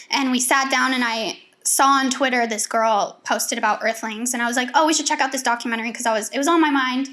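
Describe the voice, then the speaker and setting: A woman with a notably high voice shares a personal story on a casual panel talk show. She tells it in a lively way, slipping into the voices of the people she quotes. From United States (born in Bulgaria, raised in Seattle). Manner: animated storytelling, acts out quoted dialogue. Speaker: co-host.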